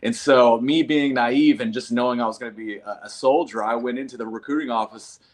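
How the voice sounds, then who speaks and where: A man's voice: medium pitch, fairly even intonation, a little fast. A man in his thirties from North Carolina, a combat veteran talking casually and earnestly as an interview guest. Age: 30s. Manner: earnest, folksy, calls listeners man and brother.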